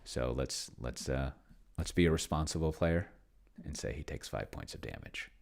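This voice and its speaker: A man's voice: very deep voice, lively intonation, moderate pace, vocal fry. A man giving casual screen-share commentary as he plays, musing aloud in a rambling way and trailing off into mutters. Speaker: tabletop game player and video creator.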